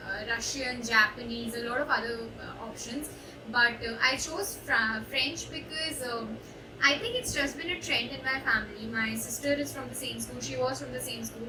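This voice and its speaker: A young woman with an Indian English accent, a student intern, giving a reflective personal testimonial with frequent hesitations. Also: a little high. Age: young adult. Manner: reflective, hesitant with many uh fillers. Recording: echoey room, distant mic, background noise.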